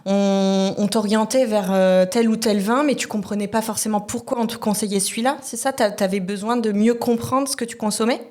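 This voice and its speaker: A woman's voice: mid-range voice, steady tone, brisk, few pauses, a little mellow. A woman hosting a podcast interview, asking questions and affirming with short backchannels. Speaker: podcast host.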